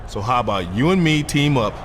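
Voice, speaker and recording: A man's voice: medium pitch, expressive, fairly quick, fairly bright tone, close to an everyday voice. A man playing a confident, scheming wrestler in a video game, talking casually and persuasively to win over a partner. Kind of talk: scripted backstage video game dialogue. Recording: clearly noisy ambient background.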